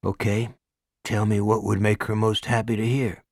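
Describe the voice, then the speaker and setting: A man voicing a timid, bookish young male inmate who speaks quietly and carefully. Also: fairly low pitch, flat delivery, moderate pace, no echo, clean studio sound.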